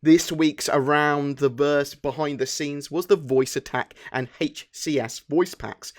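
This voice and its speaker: Chatty British man presenting gaming news. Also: mid-range voice. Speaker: host of a gaming news channel. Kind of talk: informal scripted news commentary.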